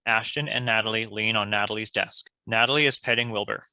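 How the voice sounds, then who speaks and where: A man's voice: fairly low pitch, steady tone, fairly quick, bright, forceful tone, no room sound, poor audio. A man reading scripted stage directions as the narrator.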